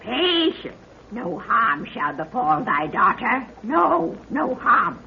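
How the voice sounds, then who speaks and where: An actress playing an ancient, cackling prophetess who speaks in drawn-out archaic riddles in an old radio adventure serial. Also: female voice, fairly high pitch, big pitch swings, creaky, heavily acted. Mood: ominous, mysterious. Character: ancient cackling prophetess of a hidden underground world. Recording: noisy, muffled old radio recording.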